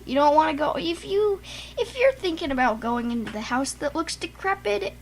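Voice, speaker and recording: A woman co-hosting a casual show, rambling and halting with frequent restarts. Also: sits high, expressive, a little hiss or hum. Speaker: podcast co-host. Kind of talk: casual chat.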